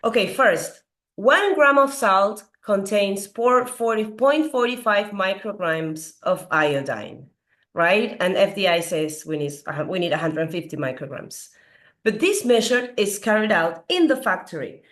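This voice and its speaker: Slightly hoarse woman lecturing. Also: mid-range voice, animated, unhurried, fairly bright tone. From Peru. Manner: earnest, questions the audience with frequent fillers. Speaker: doctor giving a health talk. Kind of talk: explanatory lecture.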